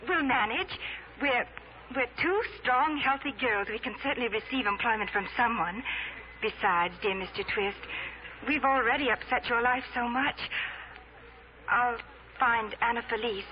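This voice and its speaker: Young woman, acted by a woman: high voice, earnest, worried, hesitant. Vintage 1940s radio drama, dulled old recording. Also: normal speed, highs cut off, a little hiss or hum, natural voice. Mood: distressed, brave. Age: young adult. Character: earnest, anxious, self-doubting young woman, a twin sister.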